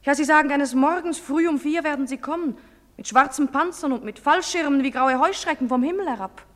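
A woman's voice: high voice, fairly quick, close to an everyday voice. An actress playing a proud, defiant nineteen-year-old girl who answers sharply and dismissively. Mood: anxious. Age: young adult. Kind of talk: stage play recording. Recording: long hall reverb.

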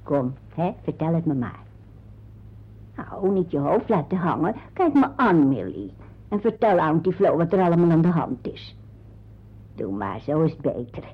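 A woman playing a fussing, coaxing aunt and mother of grown sons in a radio drama, halting and repeating herself, with a husky voice. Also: low voice, very animated, exaggerated voice. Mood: coaxing, concerned. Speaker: radio play actress. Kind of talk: radio drama monologue to a silent listener. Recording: dull, muffled radio play recording.

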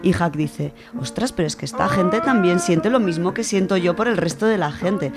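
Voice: medium pitch, normal speed. A person with an accent from Spain giving an informal, colloquial book review on the radio, explaining things in a conversational way. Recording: music under the voice. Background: music.

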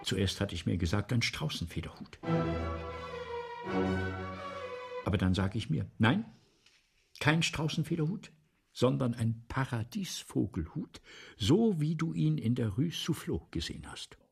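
Gravelly, anxious playwright, swinging wildly. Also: male voice, medium pitch, sing-song, faint music behind. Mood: excited, insistent. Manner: repetitive, halting, theatrical. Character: anxious, vain middle-aged male playwright, obsessive. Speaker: radio play actor. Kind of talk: 1970s German radio play acting.

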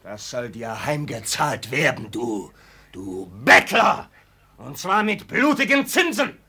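A man's voice: medium pitch, sing-song, slow, sharp tone, slightly rough, exaggerated voice. A man acting in a German radio drama as a drunken, vicious, boastful farm owner, blustering, scornful and cursing. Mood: scornful rage.

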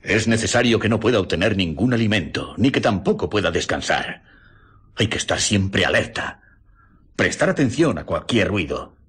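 Husky, urgent doctor, deep and animated. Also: male voice, normal speed, full character voice. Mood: resolute. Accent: Castilian Spanish. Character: urgent, resolute male doctor leading a manhunt. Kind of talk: Spanish radio drama acting.

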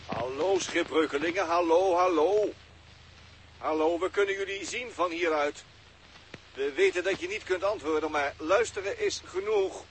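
A man's voice: on the high side, normal speed, slight background noise, lightly acted. An actor playing a crewman calling stranded astronauts from the ship, repeating his announcements, in a 1960s radio drama. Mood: brisk, calling.

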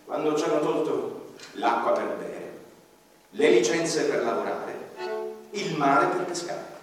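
A high-voiced ordinary man , played by an actor, grieves his vanished seaside village in a lyrical stage monologue, swinging between ringing outbursts and hushed murmurs. He sounds far from the microphone, with a long echo filling the hall. A violin plays faintly behind him. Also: natural voice. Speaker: actor performing a radio-theatre monologue. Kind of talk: theatrical first-person monologue with violin accompaniment.

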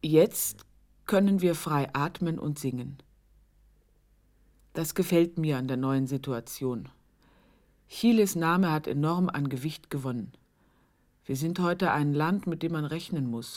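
An actress in a radio drama playing a woman who reads an affectionate, florid letter aloud, deliberately, savouring each phrase with pauses. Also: deep voice, darkish tone.

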